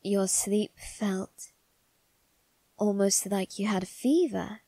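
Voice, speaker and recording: A woman voicing a doting, secretive young male vampire aristocrat in a soft, intimate ASMR roleplay, with refined, coaxing phrasing. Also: medium pitch, breathy edge, no echo. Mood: gently soothing. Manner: coaxing, gentle, unhurried, refined phrasing with tag questions. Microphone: close, dry microphone.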